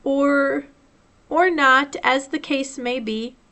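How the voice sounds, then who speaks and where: A woman voicing a dreamy, simple male bear from a storybook, with a wistful and halting way of talking. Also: high voice, bright, forceful tone, voice pushed up, full character voice.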